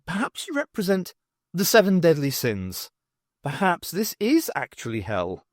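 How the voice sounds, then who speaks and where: A man's voice: a little high, wildly swooping pitch, a little slow, dry recording, studio quality. Man reading as a puzzled, wry woman adventurer.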